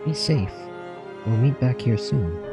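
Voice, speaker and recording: A man's voice: medium pitch, expressive, slow, pitched above the performer's own voice. A man reading out game cutscene dialogue, voicing earnest young student warriors in a fantasy story. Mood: determined. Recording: music under the voice.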